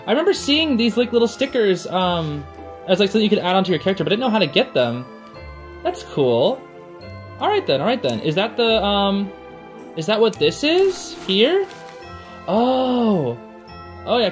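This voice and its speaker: Animated young reaction host with swooping pitch. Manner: gushing, slangy, exclaims and gasps. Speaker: host of a gaming reaction channel. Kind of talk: casual excited gameplay and reaction commentary. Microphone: home recording on a makeshift travel setup. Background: music.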